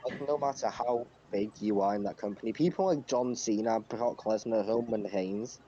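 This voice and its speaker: Lowish-voiced man on a dull, lo-fi mic. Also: moderate pace, dark tone, breathy edge. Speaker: podcast co-host. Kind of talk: casual wrestling news recap. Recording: dull lo-fi mic, faint noise.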